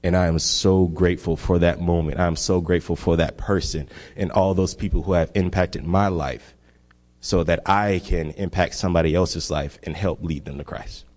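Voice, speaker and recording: Young man, very deep voice, earnest testimony, casual storytelling, long hall echo. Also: steady tone, moderate pace, a little mellow. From Miami, Florida. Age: young adult. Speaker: guest sharing testimony. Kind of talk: personal testimony.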